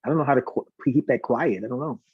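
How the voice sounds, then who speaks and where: A man chatting casually as a guest singer, talkative and effusive, rambling excitedly about his plans and fellow performers. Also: mid-range voice, fast, husky, dry recording, lo-fi sound. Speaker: guest, singer and concert organiser. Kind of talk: casual live chat, talkative promotion.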